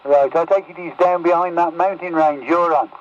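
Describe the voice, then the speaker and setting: A man's voice: fairly high pitch, steady tone, moderate pace. A man, a radio operator, chatting casually over a CB radio transmission. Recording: muffled, very noisy CB radio.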